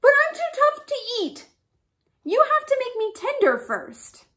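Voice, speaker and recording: A cunning little storybook rabbit, put on by a woman, pleads and coaxes in a high voice. She is plucky and swoops around excitedly as she exclaims. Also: a little slow, heavily acted. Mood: pleading, crafty.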